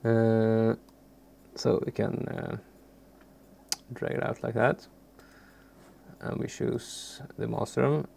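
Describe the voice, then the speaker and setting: A man from Sweden, a native Swedish speaker, giving an informal, unscripted software tutorial in a halting, unassuming way with a gravelly, creaky voice. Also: mid-range voice, fairly even intonation. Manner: halting, searching for words, unassuming. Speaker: music software tutorial presenter. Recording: faint background noise, keyboard clicks.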